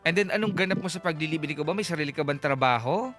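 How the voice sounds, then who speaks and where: A man's voice: fairly high pitch, lively intonation, very fast, a bit bright. A man hosting a radio advice show, giving conversational counsel and questions with English phrases mixed in. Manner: mixes English into his talk. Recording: music clearly playing behind the voice. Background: background music.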